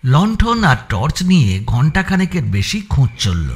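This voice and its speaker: Casting: male audio-story narrator, slightly high register, very expressive intonation, measured suspense storytelling.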